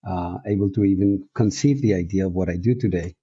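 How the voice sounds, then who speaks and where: Husky Colombian-accented man, very dark voice. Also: sits low, fairly quick, dry recording. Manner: reflective, frequent restarts and fillers, laughs easily. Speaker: guest. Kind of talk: casual interview chat. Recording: dull, muffled audio.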